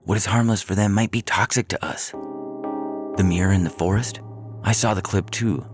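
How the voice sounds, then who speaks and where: A man's voice: deep voice, normal speed, music in the background, pitched above the performer's own voice, close to an everyday voice. A man reading the part of an alarmed, cautious male friend arguing in a science fiction story, with urgent, emphatic warnings. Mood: urgent, cautionary.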